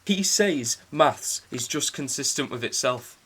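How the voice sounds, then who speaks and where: A man's voice: medium pitch, animated, measured pace, fairly bright tone, slight background noise. A young man acting an exasperated, argumentative partner in an audio drama. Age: young adult.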